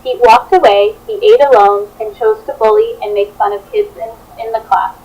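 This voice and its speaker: Higher-pitched young woman reading a presentation. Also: big pitch swings, normal speed, a little mellow, slightly rough, muffled recording. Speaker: student teacher presenting a children's book project. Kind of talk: scripted presentation, read out.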